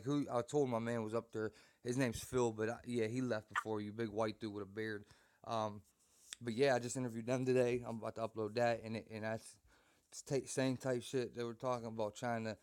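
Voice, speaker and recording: A man's voice: medium pitch, smooth. An American man hosting a conversation in casual slang, venting emphatically in a rambling way. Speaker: host and interviewer, former prisoner. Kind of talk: casual, slangy chat with frequent "bro" and "man".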